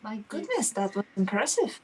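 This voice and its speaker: A woman's voice: medium pitch, very animated, normal speed, a little mellow, slight background noise. A woman chatting casually as a player in a tabletop game. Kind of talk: casual chat.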